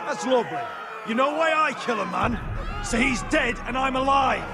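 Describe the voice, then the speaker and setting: A hard-bitten young-blooded sellsword in a game drama, dry and defiant. His voice rides very high and often raised, with music and a crowd behind him. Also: lively intonation, normal speed, shouting. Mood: grim, dry. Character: defiant, sardonic male sellsword fighter from abroad. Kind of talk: video game voice acting.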